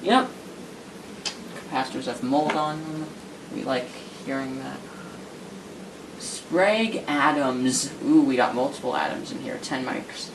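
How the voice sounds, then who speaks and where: A man's voice: mid-range voice, a little slow, pauses often. A man talking casually while he restores an old radio, thinking aloud and making chatty asides as he works. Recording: noisy workshop recording.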